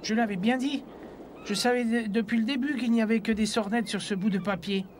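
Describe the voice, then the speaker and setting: High-pitched grumbling cartoon companion voiced by a man. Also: fairly even intonation, fairly quick, heavy background noise, natural voice. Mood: grumbling, sceptical. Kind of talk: French cartoon dub.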